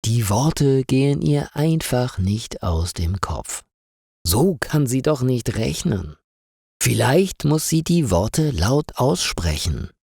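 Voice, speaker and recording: Slow, expressive male storyteller. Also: mid-range voice, gravelly, slightly rough, no echo, clean studio sound. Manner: simple, carefully articulated storytelling. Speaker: story narrator for German learners. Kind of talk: scripted story narration for language learners.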